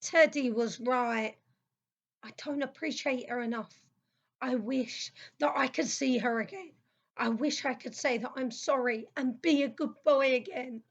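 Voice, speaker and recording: A high-pitched little boy acted by a woman with a creaky edge to the voice, from a children's audio play. He is earnest, homesick and affectionate, and the recording sounds slightly dull. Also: unhurried. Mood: homesick, regretful. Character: young boy Max, homesick, sorry and affectionate.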